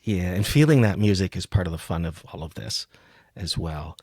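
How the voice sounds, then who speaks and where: Deep-voiced man hosting a podcast. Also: animated, normal speed, darkish tone, slightly rough, no echo, studio quality. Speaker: podcast host. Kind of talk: casual conversational interview, some poetry reading.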